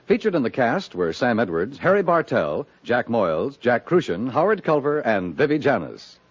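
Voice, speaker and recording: Male 1950s radio announcer, scripted and very animated, mid pitch, muffled period sound. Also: moderate pace, slight background noise. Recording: dull 1950s radio recording, music behind.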